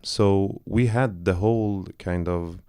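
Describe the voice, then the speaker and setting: A man's voice: low voice, steady tone, slow, a little mellow, smooth. A man from Iran, a film director, talking loosely in a post-screening Q&A, searching for words with many fillers and restarts. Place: cinema auditorium.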